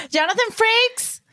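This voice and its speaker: Kichernde Frau mit spanischem Akzent. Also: female voice, very high voice, pushed and bright, dry recording, clean studio sound. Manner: giggly, bubbly. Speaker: podcast co-host. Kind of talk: casual chat.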